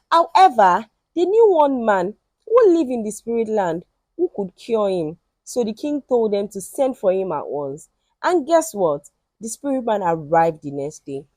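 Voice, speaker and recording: A woman telling a folktale in an expressive, emphatic and dramatic storytelling style. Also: medium pitch, dry recording.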